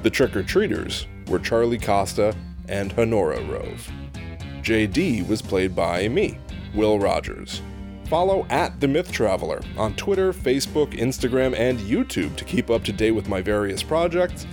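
A man's voice: lowish voice, sing-song, moderate pace, music in the background. A young man reading scripted end credits as the creator of an audio drama show.

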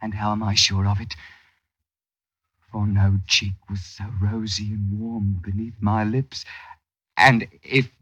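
An actor playing a smooth-talking young thief and ladies' man, wheedling in flowery period speech in an old radio drama. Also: lowish voice, flat delivery, slow, pauses often, a bit bright, no room sound, lo-fi sound, full character voice. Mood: dreamy, romantic.

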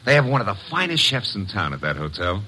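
An American actor playing a brash, wisecracking detective's assistant with an eye for women, in glib old-time radio style. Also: male voice, fairly low pitch, very animated, quick pace, pushed and bright, husky, full character voice. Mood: exasperated, needling. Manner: glib, breezy, wry. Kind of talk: 1940s-50s radio acting, first-person narration and dialogue. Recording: noisy vintage radio recording.

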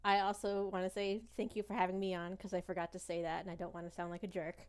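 A woman's voice: fairly low pitch, flat delivery, fast talker, a little mellow, smooth. A woman in her thirties, a guest on a podcast, chatting casually. She rambles a little, pokes fun at herself and hesitates often with ums. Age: 30s. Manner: rambling, self-deprecating, hesitant with many ums. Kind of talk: casual chat.

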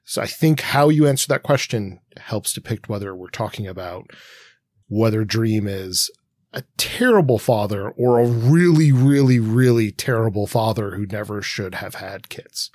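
A man with a lowish voice talks as a podcast co-host in a conversational literary discussion. He is analytical and builds questions at length, circling through options. The sound is very dry, with hardly any room on it. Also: moderate pace, a little mellow, dry recording. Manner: long-winded analytical questioning.

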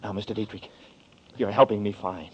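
A man's voice: fairly low pitch, steady tone, fairly quick, warm tone, slightly rough. An actor playing a desperate young man who is accused of murder, speaking in a 1940s radio drama in an urgent, pleading way. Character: desperate hunted young man, Danny Nearing. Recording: dull old radio recording.

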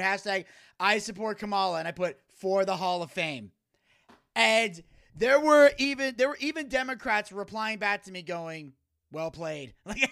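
Guy with a high bright voice telling stories on a podcast, laughs a lot. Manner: animated storyteller, laughs often. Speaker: podcast co-host. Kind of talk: casual chat.

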